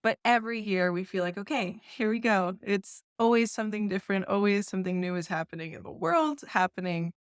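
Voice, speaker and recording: Soft-spoken diplomatic woman guest. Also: medium pitch, a little fast, few pauses, clean tone, dry recording, clean studio sound. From New York. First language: English. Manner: soft, diplomatic, laughs often. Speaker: festival founder, guest. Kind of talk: casual interview chat.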